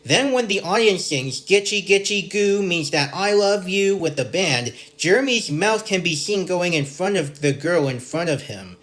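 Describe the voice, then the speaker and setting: A man from Canada with a general North American accent, hosting a review show. He reads from his notes in a measured, list-like way, in a voice pitched a little above the usual for a man. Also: expressive, a bit bright. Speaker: podcast host and episode reviewer. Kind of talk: scripted review narration.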